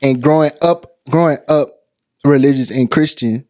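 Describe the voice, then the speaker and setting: A man of thirty, a podcast host, talking in a thoughtful, unhurried way with a lot of fillers. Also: on the high side, darkish tone, dry recording, studio quality. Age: 30s. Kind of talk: reflective casual chat.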